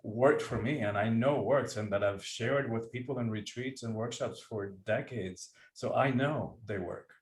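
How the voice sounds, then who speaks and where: An earnest, reflective man from Cuba talks through his ideas on a video call. He pauses and restarts as he searches for words. His voice rings with a roomy echo at a middling pitch. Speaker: guest author and breathwork teacher. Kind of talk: conversational interview. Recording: echoey room sound.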